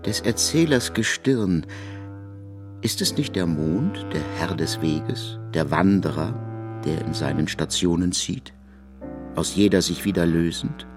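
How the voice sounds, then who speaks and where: A man narrating literary prose in a measured, expressive storytelling manner. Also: low-pitched, music in the background. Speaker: narrator of a literary radio adaptation.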